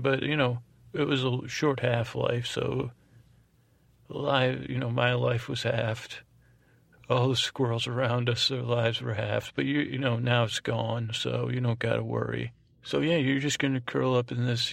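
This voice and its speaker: A man hosting a sleep podcast with an improvised, meandering monologue, halting, repeating himself and trailing off in a creaky voice. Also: mid-range voice, no echo. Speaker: podcast host. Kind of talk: rambling, improvised bedtime monologue.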